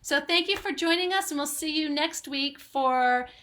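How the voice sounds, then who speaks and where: A woman's voice: high voice, normal speed, fairly bright tone. A woman reading aloud to young children as host of a story time, in a dramatic, singsong storytelling manner. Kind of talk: expressive picture-book read-aloud for young children.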